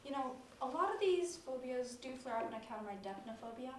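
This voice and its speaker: A young woman acting in an amateur stage comedy as a fussy, phobia-ridden picky eater on a date, deadpan in delivery. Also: high voice, quick pace. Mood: matter-of-fact. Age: teenager or young adult. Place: echoey indoor stage, recorded from afar. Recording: echoey stage, distant mic, faint noise.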